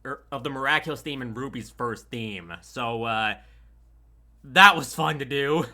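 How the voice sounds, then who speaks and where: A man's voice: mid-range voice, unhurried, bright, forceful tone. A man talking casually as an audio editor looking back on his work. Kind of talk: casual retrospective discussion.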